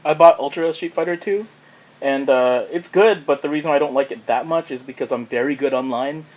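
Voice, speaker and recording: A man's voice: fairly high pitch, brisk, a little mellow. A man chatting casually as a guest on a podcast panel. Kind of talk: casual chat.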